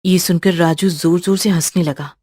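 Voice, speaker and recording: A woman narrates a scripted horror tale in a husky, slightly low voice. Her storytelling is dramatic and suspenseful, recorded very dry and close. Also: steady tone, moderate pace, mellow, no echo.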